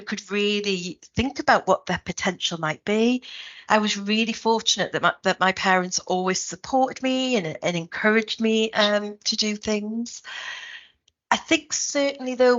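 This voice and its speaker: Brief: middle-aged Geordie woman, academic leader, reflective and measured, slightly muffled recording. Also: mid-range voice, fairly bright tone. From Newcastle, north of England. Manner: thoughtful, frequent ums and restarts. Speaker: head of a university school, guest. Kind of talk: reflective interview answers.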